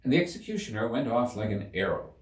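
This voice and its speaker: A man narrating a children's story as an audiobook reader, in an expressive storytelling style. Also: mid-range voice, quick pace. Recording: roomy echo, slightly distant mic.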